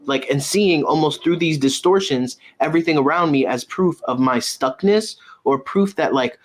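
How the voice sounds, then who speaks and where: A man giving a casual, intuitive tarot reading, halting and circling back to repeat his phrases. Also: a little high. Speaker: tarot reader and medium, host.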